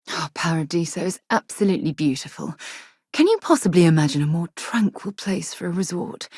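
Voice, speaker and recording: A woman space explorer in a video game, brisk and principled. Her voice sits on the low side and is recorded close and dry. She speaks articulately, with earnest care and wry exasperation. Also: breathy edge, no echo. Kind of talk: video game character dialogue.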